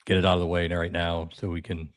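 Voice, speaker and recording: Deep-voiced laid-back podcast guest, a man. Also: completely flat delivery, fast, no room sound, studio quality. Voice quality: deep radio voice. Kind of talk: casual podcast chat.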